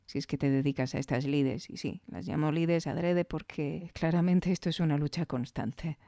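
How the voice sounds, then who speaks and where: A woman hosting a podcast, speaking intimately and deliberately in a reflective scripted monologue to the listener. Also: deep voice, warm tone, dry recording, clean studio sound. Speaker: podcast host.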